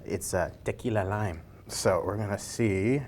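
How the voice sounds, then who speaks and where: Lowish voiced guy hosting a laid back chat show, informal, throws in jokey little asides. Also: measured pace, darkish tone, a little hiss or hum. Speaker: interview host. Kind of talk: casual, chatty web show interview.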